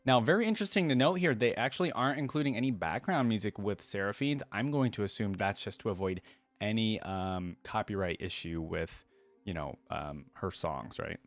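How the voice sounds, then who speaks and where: A woman's voice: a bass voice, lively intonation, brisk, no room sound. A woman hosting a casual game reaction, musing and inquisitive, often checking her thoughts with a questioning tag. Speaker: reaction video host.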